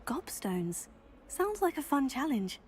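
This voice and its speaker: A woman playing a polite, helpful teenage witch student in a video game, with a British accent and a measured, curious manner. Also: high voice, very animated, slight background noise, heavily acted. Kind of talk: video game character dialogue.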